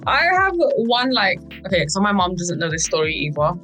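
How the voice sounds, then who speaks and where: Young british girl chatting away telling stories, voice a bit low, light music behind her. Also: normal speed. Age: young adult. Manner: animated, quotes people in acted voices, frequent "like" and "right?". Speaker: youth board podcast speaker. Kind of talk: casual chatty anecdote telling.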